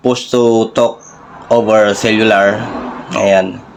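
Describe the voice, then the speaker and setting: A Filipino man giving a casual, hands-on tech demonstration in a halting, informal, explanatory way. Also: fairly low pitch, level tone, vocal fry, a little hiss or hum, poor audio. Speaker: vlogger, radio hobbyist.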